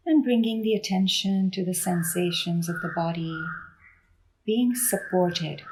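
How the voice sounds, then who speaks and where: A woman leading a guided meditation in a gentle, unhurried manner with deliberate pauses between phrases. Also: on the low side, low-quality recording. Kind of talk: guided body scan meditation.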